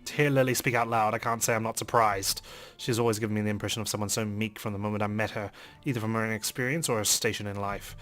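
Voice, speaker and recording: A man's voice: lowish voice, steady tone, fast, few pauses, a bit bright, soft background music. A man hosting a let's play, reading a game's narration aloud with some drama.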